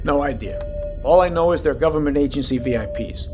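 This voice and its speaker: An actor playing a calm, seasoned submarine captain, commanding and reassuring, in an audio drama. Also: male voice, a little high, a little fast, over music, lightly acted. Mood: measured, resolute.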